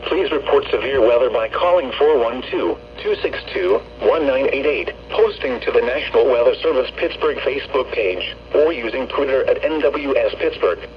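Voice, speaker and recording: Automated male announcer, mid-pitched text-to-speech, formal and measured, reading storm warnings over dull, faintly noisy radio. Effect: weather radio broadcast. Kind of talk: scripted severe weather warning bulletin. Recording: muffled lo-fi radio with hum, noise.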